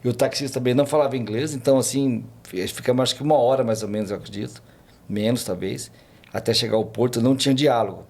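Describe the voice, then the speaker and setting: A man's voice: medium pitch, fast, gravelly, a little mellow. A man, a podcast guest telling travel stories in a rambling casual way, full of fillers and restarts. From Brazil. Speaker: podcast guest, tour guide. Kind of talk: casual chat, storytelling.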